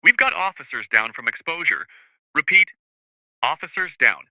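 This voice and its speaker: Guy acting a frantic cop on a super noisy police radio, highish voice, panicking. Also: sing-song, moderate pace. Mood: panicked. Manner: frantic, urgent. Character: panicked male police officer on emergency radio.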